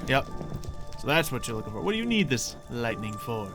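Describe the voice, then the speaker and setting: Man chatting casually over loud music. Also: mid-range voice, very animated, brisk. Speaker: gaming video creator. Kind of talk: casual improvised Minecraft roleplay commentary. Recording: music under the voice. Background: game music.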